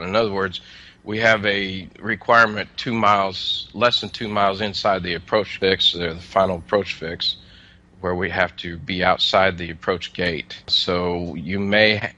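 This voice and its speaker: Muffled, low-voiced man explaining plainly. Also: bright, forceful tone. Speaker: air traffic controller, interview guest. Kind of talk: casual explanatory interview answers.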